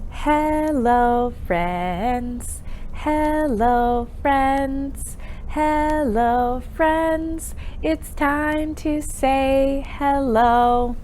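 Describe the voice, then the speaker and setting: A woman with a fairly high voice hosts a sing-along storytime for young children. She goes extremely slowly, with expressive, exaggerated delivery and encouraging questions. A faint noise sits behind her voice. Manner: encouraging, asks children questions and pauses for answers.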